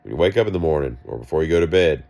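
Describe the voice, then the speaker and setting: Deep, gravelly man with a cough. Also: animated, very fast, a little mellow. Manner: wry, self-mocking, meandering asides. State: coughing, sick. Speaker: vlogger. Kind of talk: rambling storytelling monologue.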